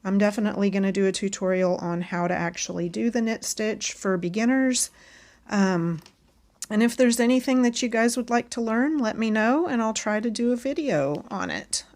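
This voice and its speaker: A woman hosting a casual knitting tutorial, chatting along as she knits and a bit hoarse from losing her voice. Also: mid-range voice, normal speed. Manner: chatty and friendly, talks while knitting.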